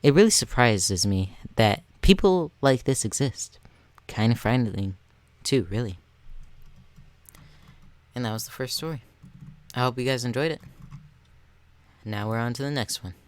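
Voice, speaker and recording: A man's voice: mid-range voice, animated, unhurried, pauses often. A thirteen-year-old boy reading an online story aloud as the narrator of a video.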